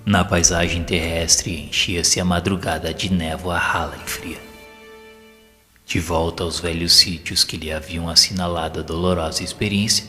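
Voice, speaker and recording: A solemn spirit narrator, played by a man with a lowish voice and Brazilian accent. He tells his tale in measured, literary audio-drama style, compassionate and grave. His words ring in a long hall reverb over clear music. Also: fairly even intonation, pushed and bright. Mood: solemn, moved.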